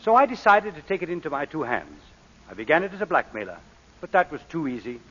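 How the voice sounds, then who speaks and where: An actor playing a suave, philosophical gentleman crook with a wry, polished theatrical delivery in an old radio play. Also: fairly high pitch, big pitch swings, fast. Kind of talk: 1940s radio acting. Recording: dull old radio recording, long reverb.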